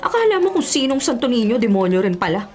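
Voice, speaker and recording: A woman's voice: on the high side, sing-song, quick pace. An actress playing a bitter, stubborn young woman in a Filipino radio drama, sarcastic and exasperated.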